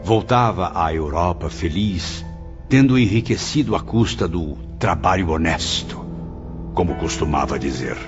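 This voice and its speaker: A man's voice: deep voice, expressive, a little slow. A man narrating an audiobook in a scripted, dramatic storytelling style. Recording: muffled lo-fi audio, loud music behind.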